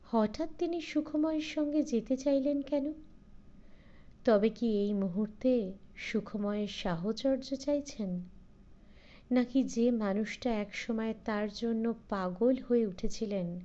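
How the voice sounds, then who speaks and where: A woman narrating a short story in a measured, deliberate storytelling voice. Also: mid-range voice, mellow, dull audio. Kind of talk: audiobook story reading.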